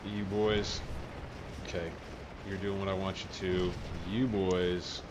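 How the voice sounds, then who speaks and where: Relaxed man chatting over game noise. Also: deep voice, slow. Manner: relaxed, joking, often mutters quietly. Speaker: channel host. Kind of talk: casual multiplayer gameplay chat. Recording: noisy game audio behind.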